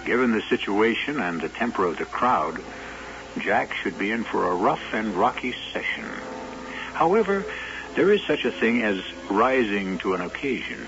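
Gravelly-voiced man narrating a radio mystery. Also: fairly low pitch, very animated. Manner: measured, wry storyteller. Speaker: host narrator. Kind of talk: radio drama host narration. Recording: dull old radio broadcast with music.

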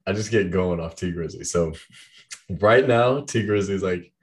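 Young man, very low deep voice, loose rambling chat, heavy fillers. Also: normal speed. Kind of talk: casual chat about music.

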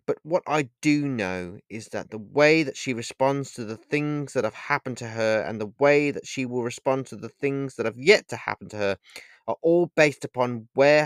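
A man's voice: mid-range voice, dry recording, clean studio sound, lightly acted. A man voicing a mysterious, angel-like stranger who speaks in a solemn, commanding way.

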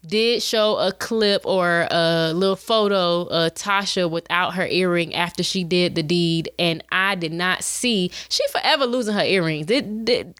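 A woman in her twenties hosting a show, talking in a chatty, animated way and calling her listeners y'all. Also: medium pitch, dry recording, clean studio sound. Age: 20s. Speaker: podcast host. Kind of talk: casual chat.

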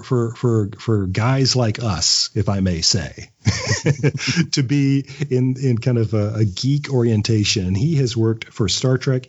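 A man in his fifties chatting casually as a podcast guest, telling stories in a halting, anecdotal way on dull, lo-fi audio. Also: lowish voice, slightly rough. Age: 50s. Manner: chatty storyteller, halting with repeated words, chuckles often. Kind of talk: casual interview chat.

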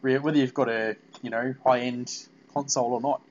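A man hosting a casual interview about video games, talking in an informal, halting, rambling way. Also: mid-range voice, fairly even intonation. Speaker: podcast interviewer. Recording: muffled, noisy lo-fi call audio.

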